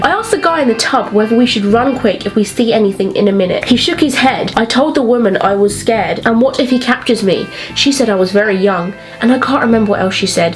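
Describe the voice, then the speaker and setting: A woman's voice: medium pitch, rough recording. A young woman with an English accent chatting informally in a vlog and reading aloud from old diaries in a self-mocking way. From England. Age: young adult. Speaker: vlogger.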